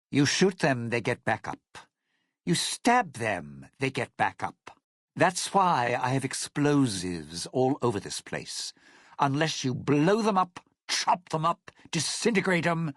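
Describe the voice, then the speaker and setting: An actor playing a cynical, threatening entertainer and sly survivor in a video game, talking with wry, smooth menace. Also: male voice, medium pitch, dry recording, clean studio sound, heavily acted. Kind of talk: video game character dialogue.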